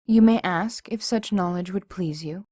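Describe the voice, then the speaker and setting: A woman's voice: fairly low pitch, moderate pace, warm tone, no echo, studio quality, natural voice. A woman voicing a humble female djinni spirit, a servile mare who speaks formally and imploringly. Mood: earnest. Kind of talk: fan audiobook voice acting.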